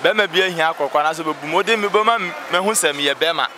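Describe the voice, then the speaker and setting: Male vox-pop interviewee, Ghanaian accent, slightly high voice, emphatic, clear street noise. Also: fast. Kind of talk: casual opinionated chat. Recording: noisy outdoor street recording.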